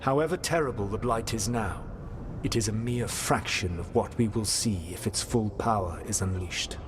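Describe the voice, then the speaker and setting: Male actor as ancient elf god, low voice, grave, measured formal phrasing, regretful, fantasy game dialogue, noisy ambient backdrop. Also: close to an everyday voice. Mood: solemn explaining. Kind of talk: dramatic video game dialogue.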